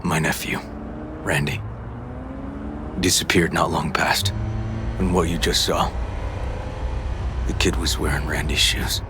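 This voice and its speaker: Deep-voiced, weary detective. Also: male voice, steady tone, measured pace, pauses often, background noise. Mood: troubled, guarded. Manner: terse, subdued. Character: weary troubled male police detective, gruff and guarded. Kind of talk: video game dialogue acting.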